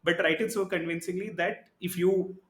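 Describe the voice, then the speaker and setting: A man co-hosting a podcast, talking in a casual, animated, argumentative way and often tagging his points with "right?". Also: high-pitched, moderate pace. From India. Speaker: podcast co-host. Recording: echoey room.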